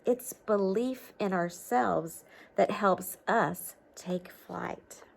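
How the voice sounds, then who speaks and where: A woman's voice: medium pitch, extremely slow, gravelly. A woman reading a picture book aloud to children, adding simple encouraging explanations and checking questions as she goes. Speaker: storybook reader for children. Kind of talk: expressive picture-book read-aloud with chatty asides to young listeners.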